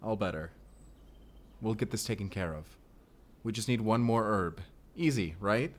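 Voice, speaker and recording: A man's voice: fairly low pitch, normal speed, natural voice. A man playing a young Spanish vampire slayer, a teasing and tender protector speaking intimately in an audio roleplay. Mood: playful, reassuring. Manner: speaks closely to one listener, teasing and soothing.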